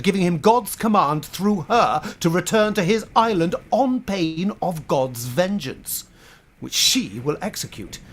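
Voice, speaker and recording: A man acting a proud medieval French bishop in a radio play. He speaks with righteous force and indignation, his rhetorical delivery swinging widely in pitch. The zeal is formal and theatrical. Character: proud, zealous French bishop of the Middle Ages. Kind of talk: stage-style radio drama acting.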